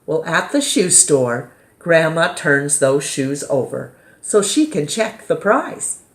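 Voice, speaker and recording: A woman reading a children's picture book aloud as storytime reader, with dramatic pauses and emphasis. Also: low voice, sing-song.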